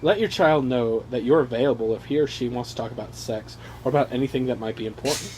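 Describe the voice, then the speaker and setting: A man casually reading aloud and riffing on the text with sarcastic, profane, animated asides, on an amateur home recording. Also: mid-range voice, fast, crowd noise, low-quality recording. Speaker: reader riffing on a handbook aloud to his brothers. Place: casual room with brothers chiming in.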